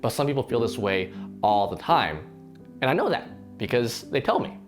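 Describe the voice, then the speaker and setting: A slightly hoarse man, a fitness channel host, talks earnestly to the camera in a casual reflection, with frequent restarts and fillers. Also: mid-range voice, big pitch swings, normal speed. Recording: faint guitar music underneath.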